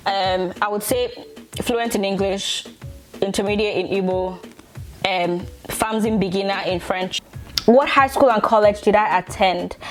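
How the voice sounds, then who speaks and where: Young Nigerian woman, mid-pitched, casual vlogger, chatty and quick to laugh. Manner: chatty, laughs often, many restarts. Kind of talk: casual chat to camera. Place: bedroom.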